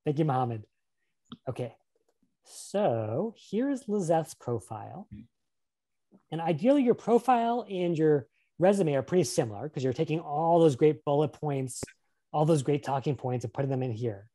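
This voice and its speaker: Man, career workshop presenter on a webcam, lively pitch, mellow tone, upbeat and encouraging. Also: moderate pace. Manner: upbeat, encouraging, asks questions. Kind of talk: live webinar presentation. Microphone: webcam on a video call.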